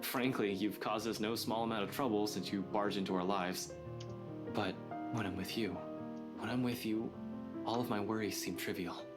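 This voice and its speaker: A man's voice: fairly low pitch, steady tone, moderate pace, natural voice. A man playing an awkward, earnest young man in a visual novel game, sincere and a little hesitant. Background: guitar music.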